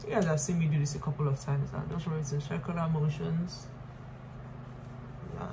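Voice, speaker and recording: Woman in her twenties, Nigerian accent, low voice, casual vlog chat, indoor room, noticeable hum and background noise. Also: steady tone, moderate pace, creaky. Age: 20s. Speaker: lifestyle vlogger. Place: small bright room indoors.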